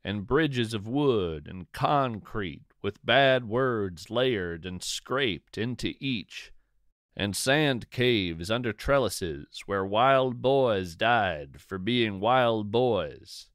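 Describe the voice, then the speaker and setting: A man narrating scripted stories and poetry as a podcast host, in a deliberate, literary manner. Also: on the low side, lively intonation, fairly bright tone, dry recording, clean studio sound. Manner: deliberate, measured, literary delivery.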